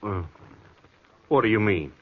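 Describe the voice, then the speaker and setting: A man's voice: on the low side, lively intonation, measured pace. An actor playing a cagey, defensive man in the Old West, indignant and evasive, in 1950s radio western acting. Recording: muffled, noisy 1950s radio recording.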